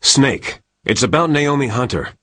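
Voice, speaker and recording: A secretive older military adviser in a video game. He has a husky voice that moves expressively up and down, yet stays measured and conspiratorial. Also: mid-range voice, big pitch swings, bright, forceful tone, no room sound, heavily acted. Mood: guarded.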